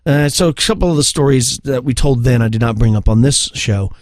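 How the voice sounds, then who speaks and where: A man's voice: medium pitch, brisk, dry recording. A man co-hosting a podcast, chatting casually and telling stories in a conversational way. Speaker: podcast co-host. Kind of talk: casual chat, storytelling.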